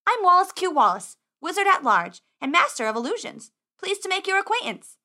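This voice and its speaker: A woman's voice: very high voice, very animated, a little fast, bright, forceful tone, voice pushed up, exaggerated voice. A woman voicing a cheeky, boastful teenage boy wizard in a storybook, grandiose and chatty. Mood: grand, cheerful. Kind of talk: audiobook character acting.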